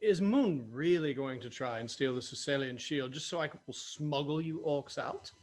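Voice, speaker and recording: A man's voice: on the high side, normal speed, a little mellow, lightly acted. A man playing a brash, roguish pirate captain in a table read of a fantasy comedy. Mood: wry. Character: gruff roguish orc-hating pirate captain, male.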